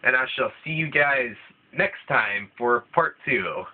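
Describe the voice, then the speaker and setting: A man's voice: medium pitch, measured pace. A man with a slight cold, rambling through casual gameplay commentary with lots of restarts. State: voice thickened by a cold. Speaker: let's play gamer. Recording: tinny, phone-like lo-fi mic. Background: video game music playing.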